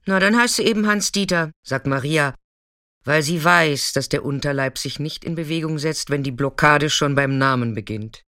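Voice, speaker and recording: A woman's voice: low voice, normal speed, sharp tone, dry recording, clean studio sound. An actress playing a chatty, caring, streetwise female sex worker in an intimate, colloquial radio drama monologue. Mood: storytelling.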